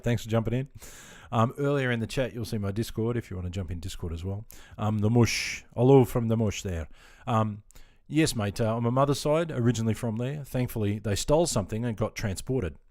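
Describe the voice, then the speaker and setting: A man's voice: mid-range voice, expressive, brisk, dry recording, clean studio sound. A middle-aged Australian man chatting casually on a live stream, easygoing and full of ums, answering viewers and calling them mate. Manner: easygoing and chatty, filler-heavy, keeps calling people mate.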